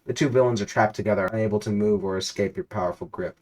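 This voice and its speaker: Low-voiced man reading a story dramatically. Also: fairly even intonation, quick pace, a little mellow, low-quality recording. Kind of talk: dramatic read-aloud of absurd interactive fiction.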